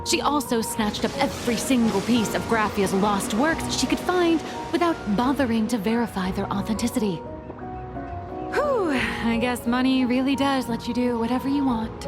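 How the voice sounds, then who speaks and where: A woman's voice: fairly high pitch, moderate pace, music in the background, lightly acted. A woman voicing a glib, theatrical young trickster in a video game, playful and dramatic in her delivery. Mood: gossipy.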